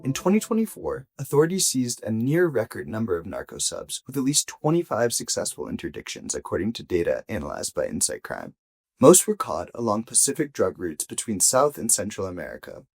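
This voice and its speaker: A man reading a scripted audio news investigation as a journalist, with measured, deliberate phrasing and emphatic pauses. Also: on the low side, big pitch swings, creaky, a little mellow, slightly rough, faint music behind.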